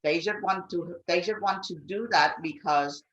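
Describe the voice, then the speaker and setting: Woman, second-language English, lowish pitch, halting and repetitive, panel discussion, muffled lo-fi call audio. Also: fairly bright tone. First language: not English. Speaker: immigrant and refugee advocate on a panel.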